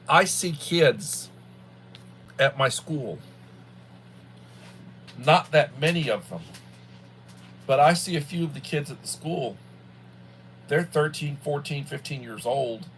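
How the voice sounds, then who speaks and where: A man in his early sixties chatting casually on a livestream, with a halting, folksy manner and a habit of repeating himself. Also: mid-range voice, a bit bright, slight background noise, low-quality recording. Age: early 60s. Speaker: livestream host, school worker. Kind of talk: casual rambling livestream chat.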